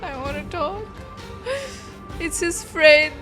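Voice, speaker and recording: A young woman streams a game with a high voice and an unhurried pace. She reacts informally with slangy exclamations over clear game music. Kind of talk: casual playthrough reaction commentary.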